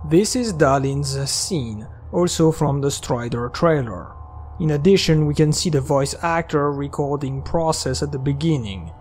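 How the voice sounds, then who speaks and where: Higher-voiced man narrating over music. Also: measured pace, darkish tone. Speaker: video essay narrator. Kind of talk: scripted breakdown commentary. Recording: music under the voice. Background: video game soundtrack music.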